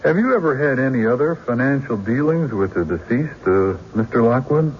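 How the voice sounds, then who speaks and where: An actor playing a shrewd, courteous country lawyer cross-examining a witness in a radio drama, deliberate and probing. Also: male voice, mid-range voice, expressive, close to an everyday voice. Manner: deliberate, probing, folksy hesitations. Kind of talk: old-time radio drama acting. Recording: dull radio recording, faint noise.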